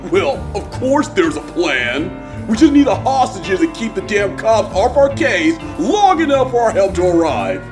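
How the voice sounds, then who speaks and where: A woman's voice: mid-range voice, very animated, measured pace, over music. A woman playing a short-tempered, threatening robber boss, exasperated and bossy, in an audio drama. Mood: irritated.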